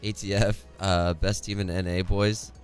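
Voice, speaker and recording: A young man with a worn-out, hoarse voice casting an esports match on a home stream, chatty and reading chat aloud, close to a studio mic. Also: low-pitched, flat delivery, measured pace, soft background music. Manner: chatty, reads chat aloud, laughs often. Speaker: esports caster. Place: home streaming room, indoors. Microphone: studio condenser mic close in front, headphones.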